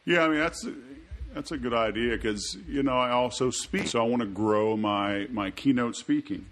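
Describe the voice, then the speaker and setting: A man's voice: medium pitch, normal speed, fairly bright tone. A man hosts a podcast with a drawl. He talks in a loose, chatty way, piling up asides and little jokes between his questions. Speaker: podcast host. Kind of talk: casual interview chat.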